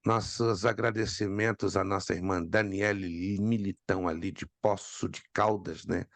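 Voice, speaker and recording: A man, hoarse from a cold, teaching an online Bible class and calling on students by name. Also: low-pitched, fairly even intonation, normal speed, dry recording. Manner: repeats key points for emphasis.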